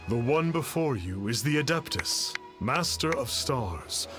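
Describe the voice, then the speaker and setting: A grandiose, pompous mystic master from a video game. He speaks slowly and formally in archaic phrases, with sweeping theatrical swings of pitch, over clear game music. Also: animated. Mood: grand, self-important. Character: pompous self-styled immortal master, grandiose male charlatan.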